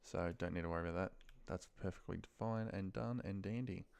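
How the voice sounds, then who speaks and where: A man thinking aloud in a muttered, pausing way as he talks through spreadsheet work on his computer. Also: low-pitched, gravelly, a little mellow, smooth. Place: at a computer desk, indoors.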